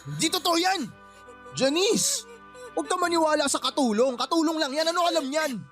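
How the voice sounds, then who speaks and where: Radio drama: menacing Filipino stepdad, leering and blustering, very animated delivery, faint music underneath. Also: male voice, very high-pitched, brisk. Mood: angry, defensive. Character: lecherous, menacing, lying live-in stepfather.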